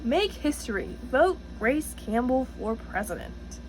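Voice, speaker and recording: Woman reading as earnest schoolgirl, lively and emphatic. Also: fairly high pitch, a little slow, slight background noise, poor audio. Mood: earnest campaigning. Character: earnest, determined schoolgirl in a storybook.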